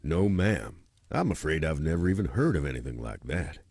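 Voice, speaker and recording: Game tavern keeper: very low, rough-edged, sly and folksy. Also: male voice, big pitch swings, moderate pace, a little mellow, no echo, clean studio sound, heavily acted. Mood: affable. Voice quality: very deep, husky. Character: sly male tavern owner, folksy and ribbing. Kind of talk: video game voice acting.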